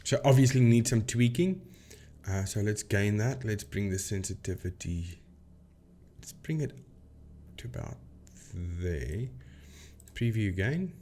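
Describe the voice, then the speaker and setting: A man's voice: lowish voice, animated, slow, long pauses, warm tone, slight background noise. Emphatic man explaining on camera commentary. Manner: emphatic, repeats phrases for stress. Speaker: video host debunking paranormal claims. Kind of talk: explanatory commentary with screen demonstration.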